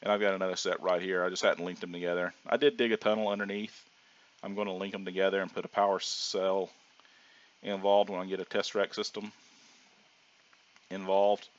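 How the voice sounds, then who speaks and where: A middle-aged man with a low voice, hoarse from a cold, walks viewers through his game in a loose, rambling way. He is full of ums and half-started sentences. The audio sounds dull and lo-fi, like a cheap home microphone. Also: a little fast. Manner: relaxed, rambling with frequent ums and restarts. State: hoarse from sinus trouble. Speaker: gaming channel host. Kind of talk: casual gameplay commentary.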